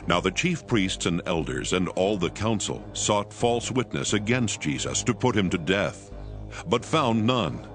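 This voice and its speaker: Low-pitched male scripture narrator. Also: sing-song, unhurried. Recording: background music under the voice.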